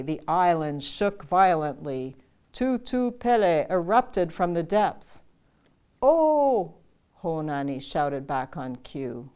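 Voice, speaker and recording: An older woman reads a children's story aloud at an unhurried, deliberate pace. Her voice sits a little low, and she lets each line land before moving on. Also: a little mellow. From Canada. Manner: slow, measured reading with chanted lines. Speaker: storytime reader. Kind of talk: reading a picture book aloud.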